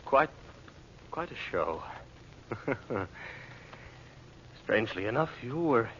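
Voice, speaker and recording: Casting: shifty impostor agent, flustered and protesting, very animated pitch; old noisy radio melodrama. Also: male voice, takes it slowly, muffled recording. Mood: evasive. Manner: stammering, flustered, protesting, breaking off mid-phrase. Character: blustering, shifty impostor secret agent, easily rattled. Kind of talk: old-time radio serial acting.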